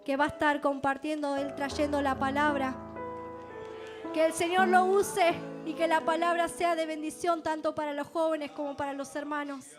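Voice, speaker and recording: A woman's voice: high voice, level tone, normal speed, music in the background. A woman introducing the preacher at a church service and giving a blessing, speaking from a distance.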